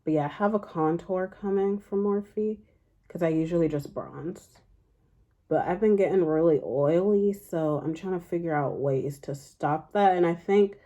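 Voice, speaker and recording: Woman with a low mellow voice. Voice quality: fairly deep speaking voice. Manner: rambling, chatty, heavy use of "like" fillers. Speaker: beauty vlogger. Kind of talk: casual makeup tutorial chat.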